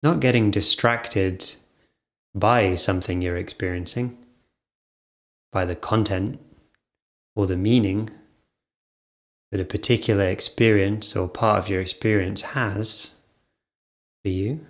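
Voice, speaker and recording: A man leading an off-the-cuff guided meditation, speaking in short phrases with gentle pauses between them. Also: on the low side.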